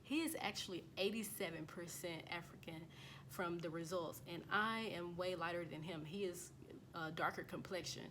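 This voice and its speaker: A woman's voice: fairly low pitch, noisy background. An American woman vlogging casually as she reads through results on a screen, talking loosely with lots of fillers and restarts. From United States. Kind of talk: casual talk to camera while reading results off a screen.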